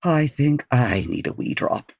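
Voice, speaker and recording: A man playing a learned, eager gentleman detective, formal and theatrical, in a comedy audio drama. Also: medium pitch, lively intonation, unhurried, vocal fry, no echo, clean studio sound. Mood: exasperated. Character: learned, eager, gentlemanly Victorian detective, patient but exasperated.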